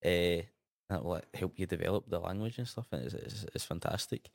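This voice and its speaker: A young Scottish man with a Gaelic background, co-hosting a casual radio chat in a relaxed, easygoing way. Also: low voice, fairly quick, gravelly, no echo. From Scotland. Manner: relaxed, laid-back, often tags sentences with "man". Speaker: radio co-host.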